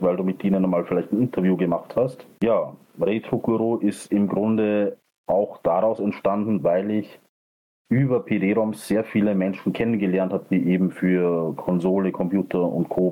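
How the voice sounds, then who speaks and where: Austrian man, middle-aged, deep relaxed voice, rambling podcast guest. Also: low-quality recording. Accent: Austrian German. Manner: rambling, many fillers and self-corrections. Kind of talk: casual interview talk.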